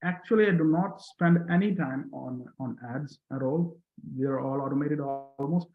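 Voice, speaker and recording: A man who speaks English as a non-native language, telling his coaching results earnestly with halting restarts. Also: a little high. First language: not English. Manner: earnest, halting restarts, rising on key numbers. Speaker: coaching client, interview guest. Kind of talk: testimonial video call chat. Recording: echoey room over a tinny call line.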